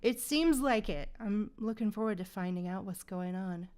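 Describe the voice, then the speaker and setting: A woman's voice: mid-range voice, normal speed, a little mellow. A woman streaming a game, chatting casually with viewers in gamer slang. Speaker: game livestreamer. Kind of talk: casual livestream chat.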